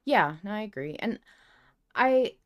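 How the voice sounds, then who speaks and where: A woman's voice: mid-range voice, expressive, measured pace. A woman co-hosting a fan podcast in casual chat, emphatic and playfully repetitive. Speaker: podcast co-host.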